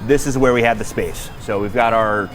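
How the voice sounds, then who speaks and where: A man's voice: on the low side, moderate pace, soft background music. An American man in the role of rescue chief, giving an informal explanatory tour of equipment in practical, plain-spoken trade jargon.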